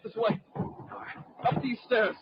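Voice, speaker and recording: A man's voice: slow, natural voice. An actor playing a desperate, obsessed man who pleads and repeats himself, in old-time radio drama style on a muffled, noisy recording. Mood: feverish urgency.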